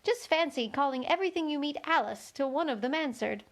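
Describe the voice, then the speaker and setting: A woman's voice: high voice, lively intonation, fairly quick, pitched above the performer's own voice. A woman voicing a polite, curious young girl in a children's storybook, thoughtful and earnest with a slightly anxious edge. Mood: musing. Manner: musing, earnest. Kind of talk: children's storybook read-aloud.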